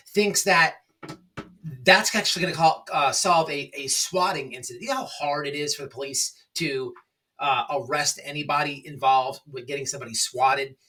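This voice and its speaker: A man hosting an informal opinion commentary, speaking in a halting, repetitive, emphatic and sarcastic way. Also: on the high side, pushed and bright, distant mic. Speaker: online commentary show host.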